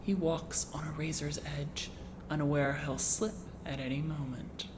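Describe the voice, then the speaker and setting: A woman's voice: low voice, fairly even intonation, lightly acted. A woman playing a grave oracle, solemnly intoning a prophecy in an audio drama. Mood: ominous, solemn. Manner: solemn, incantatory, deliberate. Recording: clearly noisy ambient background.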